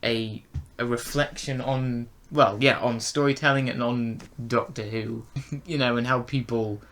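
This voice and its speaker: Male co-host, bright forward tone, medium pitch, tentative casual phrasing. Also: fairly even intonation, unhurried. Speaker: podcast review co-host. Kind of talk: casual review discussion.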